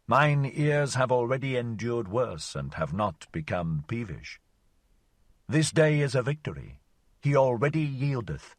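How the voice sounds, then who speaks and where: A man reading the lines of a calm, proud solitary sage in an audiobook, measured and reflective in old-fashioned phrasing. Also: mid-range voice, dry recording.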